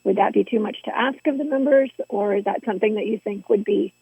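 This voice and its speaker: A hoarse woman with laryngitis, a school trustee asking polite, hesitant questions at a meeting. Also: medium pitch, quick pace, mellow, no echo. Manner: hesitant, polite, many ums. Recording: tinny phone-line audio.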